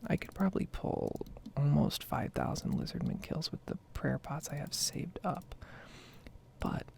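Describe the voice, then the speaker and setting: A woman speaking in a soft, breathy whisper, rambling casually in an ASMR style as she comments on her own gameplay. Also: sits low, a little slow, slight background noise. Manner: casual, meandering. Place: basement at home.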